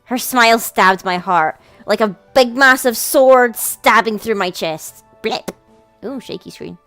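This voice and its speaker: High-voiced woman narrating a visual novel. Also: unhurried, sharp tone, dry recording. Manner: dramatic storytelling with casual asides. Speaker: let's play host. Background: game music.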